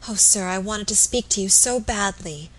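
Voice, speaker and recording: A woman's voice: medium pitch, normal speed, lightly acted, low-quality recording. A woman voicing an anxious, deferential young wife in an audiobook, speaking eagerly and earnestly. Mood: eager, confiding. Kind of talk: audiobook character voice.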